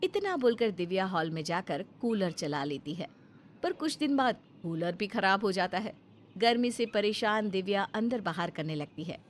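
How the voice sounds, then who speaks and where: A woman's voice: medium pitch, sing-song, normal speed. Woman narrating a story, faint background noise.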